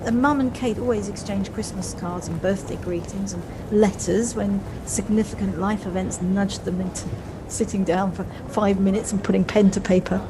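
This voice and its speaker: A woman's voice: mid-range voice, steady tone, normal speed, hardly any pauses, lightly acted. A woman acting a flustered, wistful middle-aged woman in an audio play, with hesitant, reflective speech that repeats words. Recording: noisy home recording on personal device.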